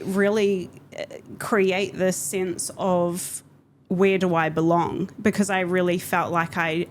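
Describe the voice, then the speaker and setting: A woman's voice: on the low side, slight background noise. A woman with a New Zealand accent telling her personal story reflectively as a podcast guest. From Auckland, New Zealand. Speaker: podcast guest, business coach.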